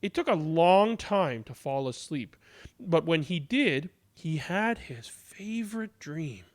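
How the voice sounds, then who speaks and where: High-pitched, animated male storyteller. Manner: dramatic, deliberate delivery with drawn-out words. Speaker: storyteller reading a picture book aloud. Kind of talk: expressive children's read-aloud narration.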